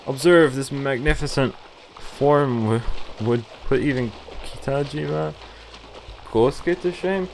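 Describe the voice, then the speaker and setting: A man's voice: medium pitch, takes it slowly, gravelly, a little hiss or hum, poor audio. A man streaming a visual novel, reading it aloud and adding casual, playful, reactive commentary. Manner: playful, reactive, acts out dialogue. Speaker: game streamer. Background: game music.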